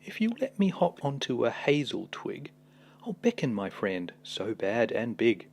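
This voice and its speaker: A man's voice: mid-range voice, big pitch swings, a little slow, gravelly, darkish tone, exaggerated voice. A man voicing a small, crafty storybook mouse, coaxing its way out of danger.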